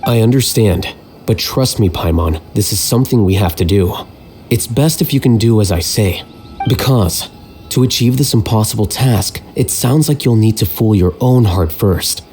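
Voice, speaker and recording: A man voicing a calculating young scholar in a video game, measured, aloof and matter-of-fact. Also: fairly low pitch, a little mellow, lightly acted. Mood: calm, persuasive. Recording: clear music behind the voice.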